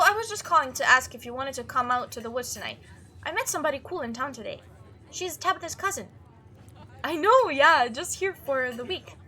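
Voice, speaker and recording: A woman's voice: high-pitched, sharp tone. A woman voicing an upbeat, chatty young monster-hunting video maker in a visual novel read-through. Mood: friendly, sympathetic. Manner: bubbly, casual, enthusiastic.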